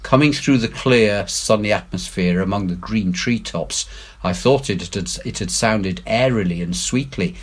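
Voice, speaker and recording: A man giving a dramatic, measured, expressive reading of an adventure novel. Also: on the low side. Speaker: story reader, head teacher.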